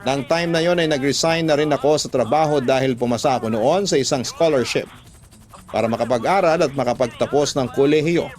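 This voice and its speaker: A man's voice: medium pitch, fairly quick, darkish tone. A man with a Filipino accent reading a listener's love letter as a scripted first-person radio narration.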